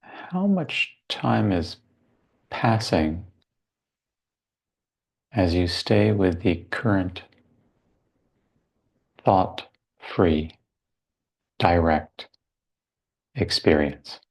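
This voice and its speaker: Male meditation teacher, deep pitch, gravelly fry, contemplative delivery, short phrases, long pauses. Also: animated. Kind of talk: guided meditation.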